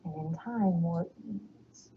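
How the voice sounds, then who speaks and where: A woman with a slight Texan accent guiding a yoga class, giving calm, soothing cues and breathing the exhales out in whispers. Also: fairly low pitch, slow pace, muffled recording, a little hiss or hum. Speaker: yoga instructor.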